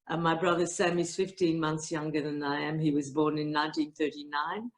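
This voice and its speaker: Old lady from italy, lowish voice, lots of uhs, remembering childhood. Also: little pitch movement, brisk. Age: 80s. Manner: hesitant, many fillers. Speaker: Holocaust survivor interviewee. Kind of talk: personal testimony. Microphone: video call.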